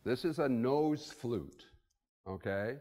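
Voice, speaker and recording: A man's voice: mid-range voice, expressive, takes it slowly, mellow. A man in his sixties giving a conversational keynote at a podium in a conference room, thinking aloud with fillers. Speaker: keynote speaker. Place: hotel conference room with audience. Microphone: podium mic. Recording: long reverb of a conference hall.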